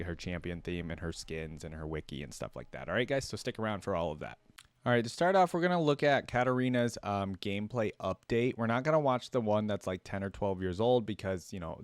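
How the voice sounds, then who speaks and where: A man's voice: on the low side, clean tone, dry recording. A man hosting a reaction video, commenting casually and informally as he thinks aloud.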